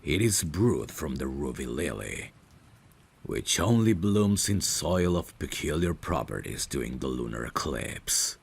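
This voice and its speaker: A man playing a courtly, caring vampire butler in an ASMR audio drama, speaking in a formal, deferential and gently solicitous way. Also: a bass voice, sing-song, unhurried, gravelly, husky, exaggerated voice. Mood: courteous, explaining. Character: courtly, caring male vampire butler, soft-spoken and protective.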